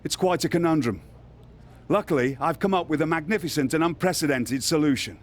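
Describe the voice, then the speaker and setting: A man's voice: a little high, a little fast, lightly acted. A man playing a pompous, theatrical wrestling general manager in a video game cutscene, speaking in formal, grandiose phrases. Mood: pompous, officious.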